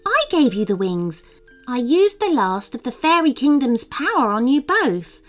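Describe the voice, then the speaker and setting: A tiny storybook fairy, voiced by a woman, speaks in a high, lively voice. She is earnest, bossy and pleading, with music playing behind her. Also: measured pace. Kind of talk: children's storybook character voice.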